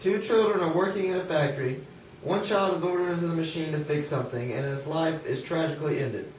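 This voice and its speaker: A man reads scripted narration in a high voice. He is recorded at a distance in an echoey room with faint noise. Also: fairly quick, a little mellow.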